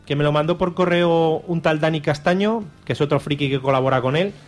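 Higher-voiced Spanish man bantering on radio. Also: fairly quick. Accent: Spain. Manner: jokey, colloquial, irreverent. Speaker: radio show co-host and fanzine reviewer. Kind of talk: casual, unscripted banter. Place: radio studio.

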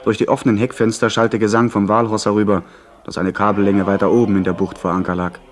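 Actor as lowish-voiced sea adventure narrator. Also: male voice, little pitch movement, fast, lightly acted. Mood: composed. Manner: composed, literary storytelling. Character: male narrator recounting his pirate sea adventure. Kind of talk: scripted first-person radio play narration.

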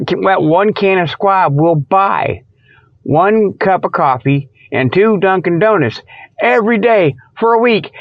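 A man's voice: high-pitched, no echo. Folksy rambling man, dull lo-fi sound. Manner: folksy, stammering, digressive, chuckling at own jokes. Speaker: radio show host. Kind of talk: rambling comedic monologue.

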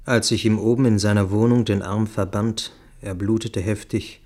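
An actor playing a grave first-person narrator in a literary radio play, speaking in a measured, deliberate way. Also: male voice, lowish voice, steady tone, a little mellow, natural voice. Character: grave male witness recounting a deadly night attack.

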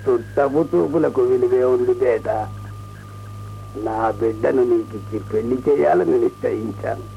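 An actor in a radio play playing a proud, short-tempered old Persian emperor who declaims with indignant pauses. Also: male voice, mid-range voice, vocal fry, phone-quality sound, slight background noise, lightly acted. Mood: grand, persuasive. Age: older. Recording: radio drama with music.

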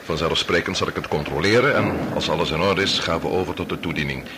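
An actor playing an authoritative older doctor and father, measured and formal, with a Dutch accent in a radio drama. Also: low voice. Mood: formal, resolute. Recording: noisy old radio drama recording.

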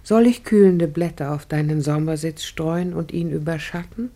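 A devoted young daughter in a radio play, dark mellow voice, gentle, distressed, pleading. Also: female voice, low voice, moderate pace. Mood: anxious, tender. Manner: tender, pleading, formal diction. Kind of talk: solemn classical radio drama acting.

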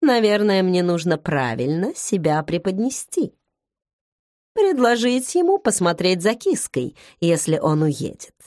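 A woman reading a novel aloud in the first person, measured and intimate, like inner monologue. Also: on the low side, very animated, a little mellow, no echo. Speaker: audiobook narrator. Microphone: close studio mic. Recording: dry studio recording.

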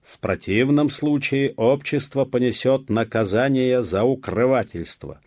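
A man voicing a stern, commanding rural police chief in an audiobook, loud, deliberate and official. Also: on the low side, dry recording, clean studio sound. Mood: officious.

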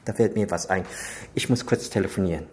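A man's voice: low voice, moderate pace, a little mellow, close to an everyday voice. A man in a German radio crime drama playing a suave, menacing Chinese crime boss who is genial but insinuating and commanding. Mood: ceremonious, genial.

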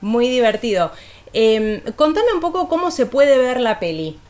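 A woman's voice: on the high side, big pitch swings, a little slow, crowd noise. A woman with an Argentine accent interviews casually for radio, feeling her way through informal questions.

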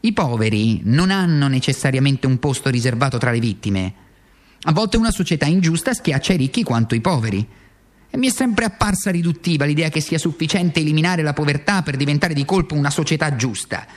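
A man's voice: on the high side, sing-song. Man acting a tormented, wildly swooping stage monologue. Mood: questioning, bitter. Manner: anguished, halting, rhetorical, repeating phrases for emphasis. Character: tormented, self-accusing worn-out communist stage actor, male. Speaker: actor performing a monologue. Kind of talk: theatrical monologue in radio drama.

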